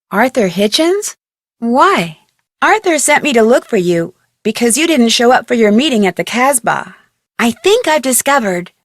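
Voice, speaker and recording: A young American woman playing an inquisitive, plucky amateur detective in an adventure game, speaking earnestly and expressively with clear enunciation. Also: on the high side, no echo, clean studio sound. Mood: earnest, probing. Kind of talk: scripted adventure game voice acting.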